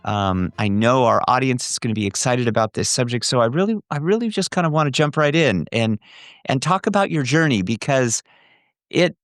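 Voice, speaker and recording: A male podcast host reading a measured, emphatic introduction, then chatting with his guest. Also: mid-range voice, animated, dry recording, clean studio sound. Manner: measured, emphatic rhetorical delivery. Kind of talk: scripted intro then interview chat.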